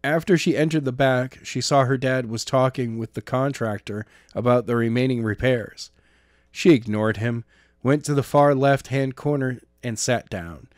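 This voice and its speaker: Male narrator, medium pitch, amateur audiobook reading, occasional stumbles and rereads, very little room sound. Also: normal speed, dry recording, studio quality. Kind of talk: amateur scripted story narration.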